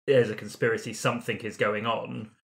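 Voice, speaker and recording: A man's voice: fairly low pitch, level tone, fairly quick, vocal fry. A man talking casually as a player in a tabletop roleplaying game, brainstorming story ideas aloud.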